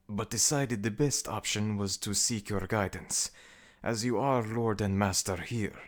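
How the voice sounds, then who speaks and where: A man's voice: lowish voice, voice pushed up, lightly acted. A man voicing a deferential, anxious servant of a god in a scripted audio roleplay, speaking formally and earnestly.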